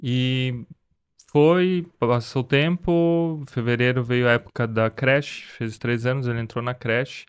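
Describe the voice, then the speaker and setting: Male podcast host, 30s, mid-pitched and clear, sincere and reflective. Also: fairly even intonation, a little slow. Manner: earnest, reflective storytelling. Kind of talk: casual conversation.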